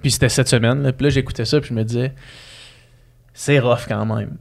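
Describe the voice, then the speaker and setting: A man's voice: medium pitch, fairly even intonation, gravelly. A man with a Québécois French accent, hosting a podcast in a casual, chatty way. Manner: casual, chatty, quick backchannels. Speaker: podcast host.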